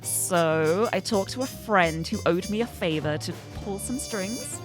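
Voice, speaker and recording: A woman voicing a snarky adult male thief who mentors and teases the heroine, in a dry, slightly lowered voice. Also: lively intonation, a little slow, over music, close to an everyday voice. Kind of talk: visual novel character reading.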